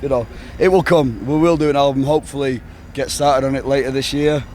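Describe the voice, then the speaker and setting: A man's voice: mid-range voice, fairly even intonation, a little fast, a little mellow. A man in his twenties with a Northern English accent, chatting casually in an interview in a rambling, sweary way. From England. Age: 20s. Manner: sweary, rambling, peppered with "you know, like". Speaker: band member being interviewed. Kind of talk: casual chat. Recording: outdoor recording with urban ambient noise.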